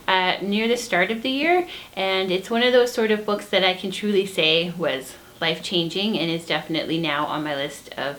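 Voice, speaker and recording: A woman's voice: medium pitch, a bit bright. Earnest woman chatting about books. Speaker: book review co-host. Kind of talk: casual book recommendation chat.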